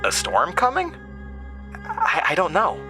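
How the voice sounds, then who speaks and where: High, nervous schoolboy, voiced by a man. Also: wildly swooping pitch, unhurried, over music, exaggerated voice. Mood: frightened. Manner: stammering, hesitant. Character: nervous young schoolboys in trouble. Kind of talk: horror audio drama.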